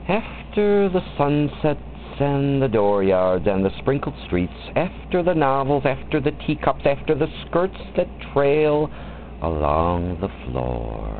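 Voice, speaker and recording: A man with a fairly low voice recites verse theatrically. His pitch swoops widely as he draws out his phrases with deliberate weight. The recording is a little dull, with faint noise behind him. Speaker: poetry reader. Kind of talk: expressive dramatic poetry recitation.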